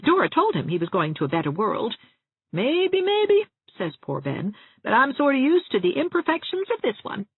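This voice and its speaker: Through a narrow, muffled band comes a genteel spinster dressmaker, acted by a woman reader. Her voice is raised and very lively, full of chatty relish for grim family gossip, like a character from an old storybook. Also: on the high side, normal speed, slightly rough, no echo, voice pushed up, heavily acted. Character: ladylike, morbidly gossipy small-town spinster dressmaker. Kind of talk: acted audiobook dialogue.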